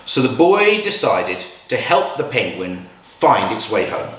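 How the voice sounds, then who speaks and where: A man reading a picture book aloud to children in a measured, expressive storytelling style with dramatic pauses. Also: medium pitch. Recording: roomy echo, distant from mic.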